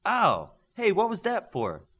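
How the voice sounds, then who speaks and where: A cheeky teenage boy training as a superhero, played by a male narrator, in an audiobook tale. He wisecracks with dry humour, his voice lifted higher and moving animatedly. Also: huge pitch swings, unhurried, bright, forceful tone, slightly rough, full character voice. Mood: indignant. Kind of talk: audiobook character voice.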